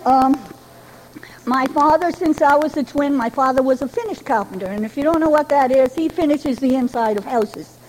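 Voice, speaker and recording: An older woman with a high voice shares her life story with a meeting, folksy and chatty with a light touch of humor. A faint hum of ambience sits behind her. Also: darkish tone, poor audio. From Rhode Island, New England. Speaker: Al-Anon member giving a talk. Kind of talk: personal recovery story told to a meeting. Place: speaking to a gathering.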